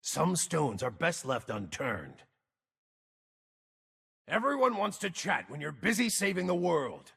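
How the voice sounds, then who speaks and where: A very husky, gravelly game mage, weary and brooding. His grim, terse lines swing widely in pitch and ring with long reverb. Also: huge pitch swings, slow, pauses often, pushed and bright, heavily acted. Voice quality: raspy, gravelly. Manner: terse, grim, theatrical delivery. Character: weary, gruff, brooding wandering mage guarding dangerous runes. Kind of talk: video game character voice lines.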